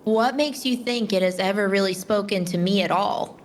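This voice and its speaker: A woman playing a steadfast, plain-spoken young Titan warrior in a fan audio drama, earnest and measured. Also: mid-range voice, slight background noise, lightly acted. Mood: reflective.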